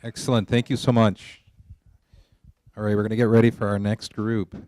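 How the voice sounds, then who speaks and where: A man with a lowish voice moderates a live event. He introduces what comes next and puts questions to the performers. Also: flat delivery, moderate pace, a little mellow. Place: live readers theater event at a college library.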